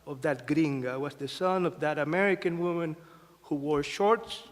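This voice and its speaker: Guy from mexico telling his story at a panel, kinda high voice, big hall echo. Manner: halting, with fillers and restarts. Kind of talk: personal story told to an audience. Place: museum event hall. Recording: long reverb of a hall.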